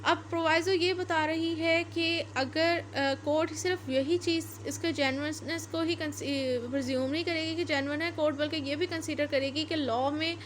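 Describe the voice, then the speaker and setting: A woman's voice: high voice, steady tone, normal speed, hardly any pauses. A woman with a Pakistani accent giving an explanatory law lecture, reading out passages and going over them step by step. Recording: noticeable background noise.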